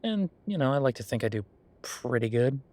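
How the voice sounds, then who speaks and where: A man's voice: mid-range voice, sing-song, fairly quick, dark tone. A man playing a friendly, self-deprecating young billionaire in a casual, intimate audio roleplay, rambling informally and playfully defensive as he talks to the listener. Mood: sheepish, musing.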